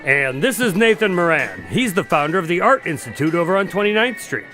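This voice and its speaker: A man's voice: sits high, sing-song, brisk, pushed and bright, soft background music, exaggerated voice. A man acting a genial, courteous doctor hosting a party in a radio drama, polite and a bit fussy. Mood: polite, reassuring.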